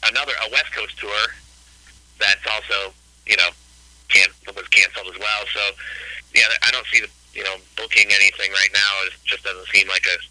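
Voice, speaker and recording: A man in his late forties talks in a loose, rambling way as an interview guest, his voice very bright and forward. The sound is dry and close, with no room around it. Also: fairly low pitch, no echo, low-quality recording. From Ohio, US. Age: 40s. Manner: rambling, laid-back, many "you know"s. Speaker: guest musician. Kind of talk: casual interview chat.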